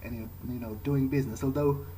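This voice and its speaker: A man improvising an in-character video game playthrough, speaking haltingly as he thinks aloud. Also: medium pitch, fairly even intonation, warm tone, a little hiss or hum, poor audio.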